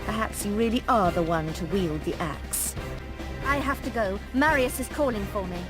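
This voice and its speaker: A grave, secretive former mistress of evil from a video game, acted by a woman. She is stern and earnest, speaking at a middle pitch with music clearly playing behind. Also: moderate pace, lightly acted. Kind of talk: video game character acting.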